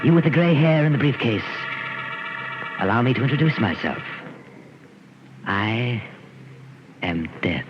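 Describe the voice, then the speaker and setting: A man's voice: mid-range voice, animated, unhurried, pauses often, natural voice. Man acting a brooding sculptor, wildly swooping delivery. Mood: sinister, menacing. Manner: theatrical, intense. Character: brooding, obsessive male sculptor who calls himself Death. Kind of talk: 1940s radio acting. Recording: muffled, noisy old radio recording.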